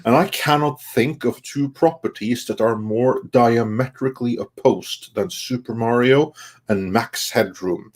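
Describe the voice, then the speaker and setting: A man hosting a casual panel discussion in measured, wordy sentences. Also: mid-range voice, breathy edge. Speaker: host.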